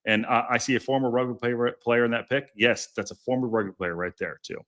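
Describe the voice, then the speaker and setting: A man with a deep, strong voice, hosting a casual podcast live stream, rambling and chatting with viewers. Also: a little fast, a bit bright. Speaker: podcast host.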